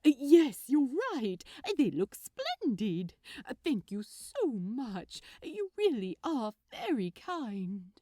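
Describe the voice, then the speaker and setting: A woman voicing a gentle, polite and easily delighted man in a story reading, courteous and gushing. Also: a little high, huge pitch swings, slow, no echo, clean studio sound, heavily acted. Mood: delighted, grateful. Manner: bright, courteous, gushing with gratitude. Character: sweet, polite, delighted male gift-wrapper, gentle and proper. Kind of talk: storybook character dialogue in fan fiction reading.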